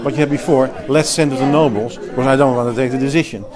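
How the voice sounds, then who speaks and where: Dutch-accented executive, mid-pitched, emphatic interview answers, recorded amid a noisy crowd. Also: male voice, fast. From Netherlands. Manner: animated, emphatic, restarts often, laughs easily. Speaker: healthcare company executive and clinician.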